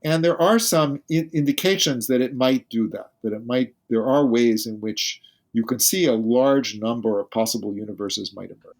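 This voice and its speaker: A man's voice: medium pitch, moderate pace. A male physicist, a guest on a podcast interview, who explains carefully and thinks aloud as he speaks.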